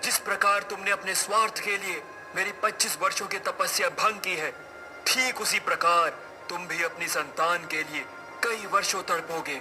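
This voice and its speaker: Male actor as cartoon forest sage, wrathful, solemn, declamatory, raised pitch, background music. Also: steady tone, normal speed, lightly acted. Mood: angry, condemning. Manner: grave, declamatory, archaic forms of address. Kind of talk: dramatic cartoon story acting.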